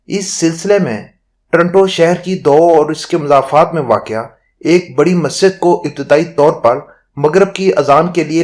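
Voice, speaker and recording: A man narrating a scripted news report in a formal, measured manner. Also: a little high.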